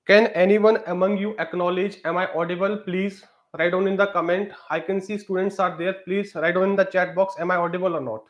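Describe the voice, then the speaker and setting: A man's voice: high-pitched, fairly even intonation, fairly quick, lo-fi sound. A man teaching a live-streamed exam prep lesson, leaning on fillers and repeatedly asking viewers to comment.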